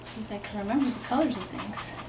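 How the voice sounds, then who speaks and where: A woman illustrator talking through a painting demonstration in her studio, thinking aloud and murmuring softly as she works. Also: mid-range voice, quick pace, background noise. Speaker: author and illustrator.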